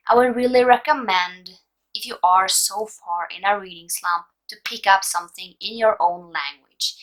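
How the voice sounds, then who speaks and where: A woman in her early twenties with a Norwegian accent, a native Norwegian speaker, giving relaxed book advice in a vlog with many fillers and pauses. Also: on the high side, rough recording. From Norway. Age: 20s. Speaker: book vlogger.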